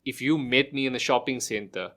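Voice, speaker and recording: A man's voice: mid-range voice, fairly quick, bright, forceful tone. A middle-aged man, a podcast guest, talking casually and explaining with everyday examples, restarting phrases and asking rhetorical questions. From South Africa.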